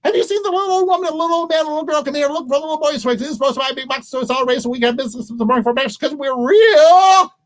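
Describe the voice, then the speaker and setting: A man voicing a small, hungry, frantic squirrel that chatters in quick repeated bursts for a children's story. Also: extremely high pitch, voice pushed up. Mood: polite, eager.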